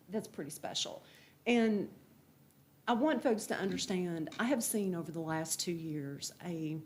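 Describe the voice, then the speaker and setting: A woman's voice: low voice, darkish tone, slight background noise. A woman with a big voice speaking earnestly and in a folksy, talkative way at a public planning meeting.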